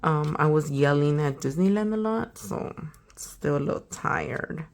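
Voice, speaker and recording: A young woman hosting a nail channel, chatting casually and slangily while unboxing, a little hoarse from a sore throat. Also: low voice, a little slow. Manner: chatty, slangy, trailing off to a whisper. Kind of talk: casual product unboxing chat.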